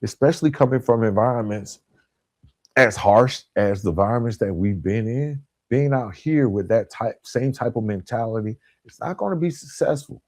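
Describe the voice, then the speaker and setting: A man hosting a motivational self-help podcast, speaking in an emphatic, instructional way with deliberate pauses and stressed key words. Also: medium pitch, expressive, a little mellow, no room sound. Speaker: podcast host.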